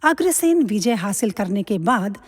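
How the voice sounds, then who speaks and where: A woman's voice: mid-range voice, big pitch swings, unhurried, slightly rough. A woman giving scripted storytelling narration for a Hindi mythological audio drama. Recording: long hall-like reverb.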